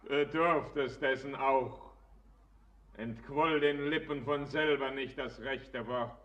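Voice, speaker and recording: Very slow, uncanny old miner. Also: male voice, mid-range voice, fairly even intonation, fairly bright tone, lo-fi sound. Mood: cryptic. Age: older. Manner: measured, cryptic, imperious. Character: mysterious, uncanny old miner, commanding tempter. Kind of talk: verse radio drama acting.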